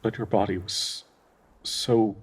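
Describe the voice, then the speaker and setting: An actor playing a devoted, grieving male dryad in an intimate audio roleplay, speaking tenderly and haltingly with a husky, breathy voice. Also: on the low side, fairly even intonation, a little hiss or hum. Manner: slow, halting, tender pleading with sighs.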